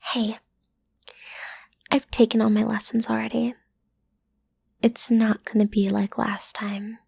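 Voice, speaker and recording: Breathy, teasing villain girl, acted by a woman. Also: on the high side, dry recording, exaggerated voice. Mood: smug, teasing. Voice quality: breathy, often dropping to a whisper. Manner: teasing, coaxing, self-assured. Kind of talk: scripted roleplay audio, intimate and breathy.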